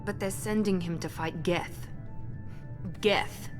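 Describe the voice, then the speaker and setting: Intense female operative, Australian accent, measured and earnest, lower register, cinematic game dialogue. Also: fairly even intonation. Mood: grave, urgent. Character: poised, intense female operative of a secretive organisation. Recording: music behind the voice.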